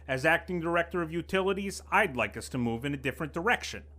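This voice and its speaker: Man as smug bureaucrat, bright lively voice, glib and needling. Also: on the high side, fast. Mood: businesslike. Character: smug scheming male city official, middle-aged. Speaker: acting director of utilities. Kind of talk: audio drama acting.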